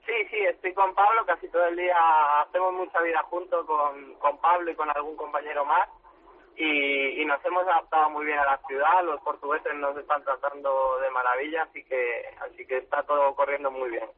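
A young Spanish man in his twenties, a futsal player giving a modest, relaxed phone interview with frequent restarts. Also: fairly high pitch, brisk. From Spain. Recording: tinny phone line with faint noise.

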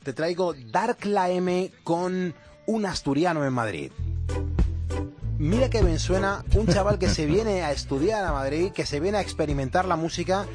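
A man from presenting music on the radio in casual chat, upbeat and quick to laugh. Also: high-pitched, lively intonation.

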